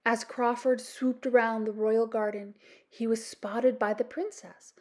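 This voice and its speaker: A woman with a Canadian accent reading a children's storybook aloud as an expressive storyteller. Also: on the high side, measured pace. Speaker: storytime host, reader. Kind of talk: children's storybook read-aloud, scripted narration.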